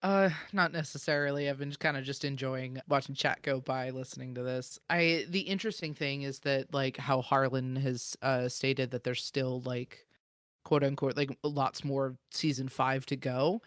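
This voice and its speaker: A man's voice: medium pitch, fairly even intonation, moderate pace, a bit bright, smooth, no echo, studio quality. A man co-hosting a podcast, chatting casually. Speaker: podcast co-host. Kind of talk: casual chat.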